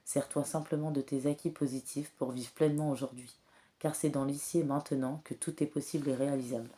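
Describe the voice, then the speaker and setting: A woman with a cold and a slightly hoarse voice, giving a spiritual card reading in a soft, hesitant way with many filler pauses. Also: rumbling low, steady tone. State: has a cold, clears throat often. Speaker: tarot and oracle card reader.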